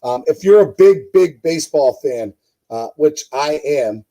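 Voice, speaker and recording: A man with a hoarse voice hosting a casual live-stream sports show, rambling with many fillers and talking to his chat viewers. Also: on the high side, animated, a little slow, dark tone. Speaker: host.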